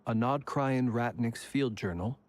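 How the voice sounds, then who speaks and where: A man's voice: medium pitch, a little slow, natural voice. A man playing a cool, reserved, analytical character in a video game, with a dry, precise delivery. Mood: cool, probing.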